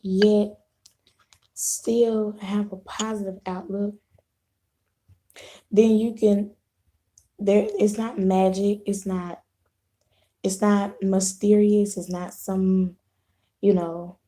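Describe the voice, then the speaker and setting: Casting: hoarse woman vlogger, slow easy delivery, mellow dark tone, casual live chat. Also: mid-range voice, fairly even intonation. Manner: unhurried, rambling, clears throat, frequent restarts. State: hoarse, losing her voice, sore throat. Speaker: vlogger hosting a live video.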